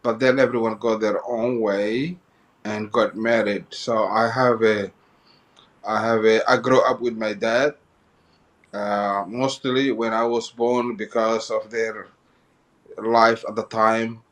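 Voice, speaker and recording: A man from Somalia, a guest in an interview telling his life story, often halting and repeating phrases as he goes. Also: lowish voice, flat delivery, a bit bright.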